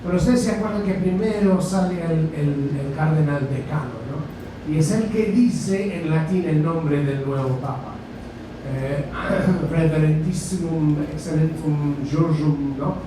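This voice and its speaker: British male guest lecturer, Spanish with Argentine-tinged accent, halting delivery, distant mic in echoey hall. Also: fairly high pitch. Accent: British speaking Spanish with Argentine touches. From Britain. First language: English. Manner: halting, with frequent fillers and restarts. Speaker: guest speaker, journalist and author. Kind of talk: lecture to a university audience. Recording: echoey lecture hall from far off, background noise.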